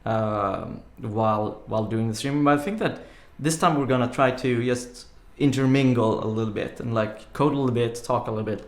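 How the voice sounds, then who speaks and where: A man's voice: medium pitch, normal speed, hardly any pauses. A man hosting a live coding stream, chatting casually and rambling with lots of filler words. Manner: rambling, many "like" fillers, laughs easily. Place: home room. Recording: echoey room.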